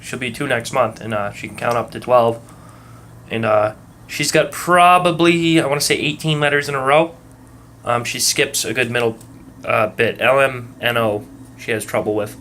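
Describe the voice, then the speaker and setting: A young man with a muddled Massachusetts accent, talking in a calm, rhythmic way and rambling casually with lots of ums and uhs. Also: mid-range voice, moderate pace, a little hiss or hum. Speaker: vlogger. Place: at work.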